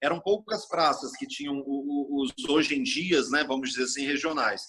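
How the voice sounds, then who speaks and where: A middle-aged man from Brasília, a TV journalist telling stories in a casual interview, expansive and animated, acting out quoted speech. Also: medium pitch, normal speed, husky. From Brasília, Brazil.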